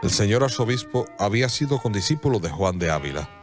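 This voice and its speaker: Low-voiced man narrating a radio drama. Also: big pitch swings, over music. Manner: solemn storytelling, measured dramatic pauses.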